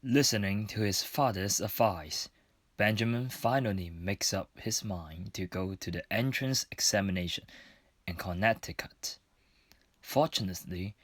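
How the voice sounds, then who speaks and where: A man reads scripted story narration for a radio drama. His voice is on the low side and recorded very dry, close and free of room sound. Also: measured pace, fairly bright tone, no room sound.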